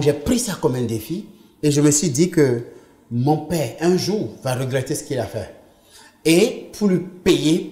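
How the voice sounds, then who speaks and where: A man's voice: a little high, darkish tone. Earnest man in a roomy room. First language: English. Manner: earnest, emphatic storytelling. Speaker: interview guest. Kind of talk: personal testimony in conversation. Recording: roomy echo.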